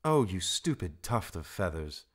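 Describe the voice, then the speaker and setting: A fierce little storybook mongoose, played by a man, impatient and brave. He speaks in a raised, emphatic voice with lively swings, briskly scolding. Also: on the low side, voice pushed up. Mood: angry.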